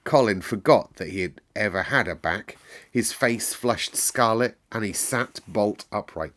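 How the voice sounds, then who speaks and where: A man reading a story aloud as narrator, expressive and dramatic, with deliberate emphasis. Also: lowish voice.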